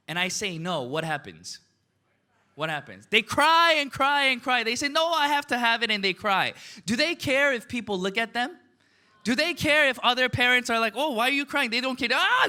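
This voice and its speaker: Animated, bright-voiced man. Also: sits high. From United States. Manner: animated, asks the audience questions, tags "right?" and "okay?". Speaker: motivational speaker. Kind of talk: motivational seminar talk.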